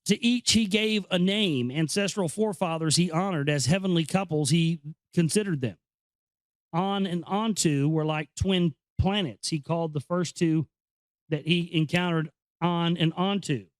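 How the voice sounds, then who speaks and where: Guy with a slightly high voice reading aloud and explaining stuff off the cuff, kinda halting, very dry sound. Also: no echo. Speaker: host reading a book aloud with commentary. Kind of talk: informal reading with off-the-cuff commentary.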